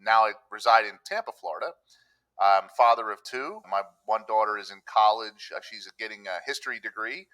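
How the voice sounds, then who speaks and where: A man's voice: lowish voice, brisk, bright, forceful tone, rough recording. A middle-aged man from Pennsylvania, a guest on a podcast, talking easily and telling stories of his work and past, slipping into other people's voices when he quotes them. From Pennsylvania, USA. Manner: easygoing storytelling, acts out quoted voices. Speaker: public adjuster, podcast guest. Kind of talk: conversational interview. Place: room with a countryside backdrop.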